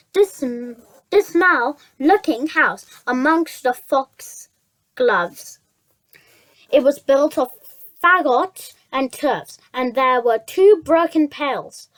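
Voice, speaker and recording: A high-voiced reader reading a children's story aloud, stumbling over words and repeating phrases. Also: fairly bright tone, poor audio.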